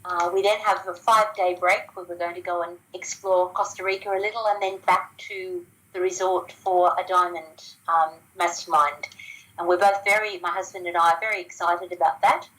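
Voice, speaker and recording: Australian woman guest, low-pitched and chatty, restarts her sentences often. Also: fairly even intonation, normal speed, fairly bright tone, low-quality recording. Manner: chatty, with frequent ums and restarts. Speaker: guest sharing business results. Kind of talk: casual phone interview.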